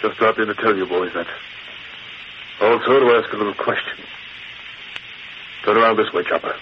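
An actor playing a smug, menacing gang boss in 1940s radio style, falsely jovial with oily mock innocence. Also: male voice, on the low side, steady tone, moderate pace, close to an everyday voice. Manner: oily mock innocence, tough gangster slang. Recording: noisy muffled old radio recording.

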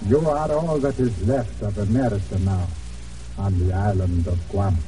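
An actor in an old radio drama playing a loyal, grave island rancher with halting, deliberate, formal phrasing. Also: male voice, on the low side, fairly even intonation, natural voice. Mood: sorrowful. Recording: muffled old radio, distant, faint noise.

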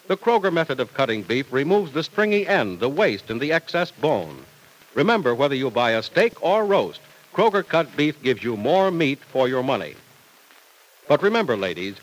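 A man's voice: a little high, poor audio. An American man announcing in a scripted, emphatic, salesmanlike mid-century radio style. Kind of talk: 1940s-50s scripted radio announcing and advertising.

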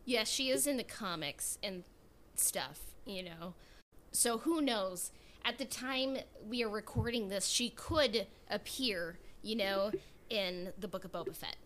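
A woman's voice: medium pitch, a little slow, fairly bright tone, slight background noise. A woman co-hosting a podcast in casual, playful chat. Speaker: podcast co-host.

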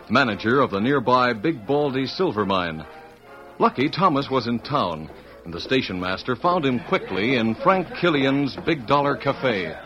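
A man's voice: mid-range voice, fairly bright tone. A man announcing and narrating in rousing, emphatic 1940s radio style. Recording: dull old radio recording with music.

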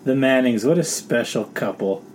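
A man's voice: medium pitch, lively intonation, moderate pace. Man, comedy podcast host, earnest, rambling, faint hiss. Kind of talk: casual comedy banter.

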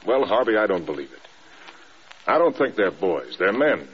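An actor playing a stern, demanding American air force general in clipped, blunt classic radio drama style. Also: male voice, low voice, very animated, moderate pace, breathy edge, full character voice. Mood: quiet, resolute. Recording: dull, band-limited old radio recording.

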